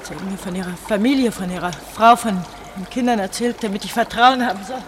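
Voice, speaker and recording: A woman's voice: medium pitch, fast talker, slight background noise, lightly acted. An actress in a German radio play voicing a desperate, exhausted young woman, emotional and reproachful in manner. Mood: bitter, accusing. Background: running, dripping water.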